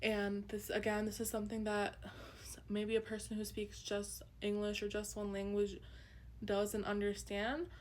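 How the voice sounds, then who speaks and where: A woman's voice: mid-range voice, monotone, unhurried, darkish tone. A young woman whose first language is Urdu, earnestly presenting a class project with frequent ums. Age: young adult. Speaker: student presenter.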